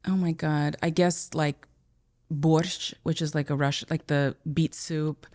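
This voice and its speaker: Middle-aged woman host from the former Soviet Union, slightly low voice, hesitant and casual. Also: fairly even intonation, unhurried, a little mellow. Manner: hesitant, many fillers and restarts. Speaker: podcast host and teacher. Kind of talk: casual podcast conversation.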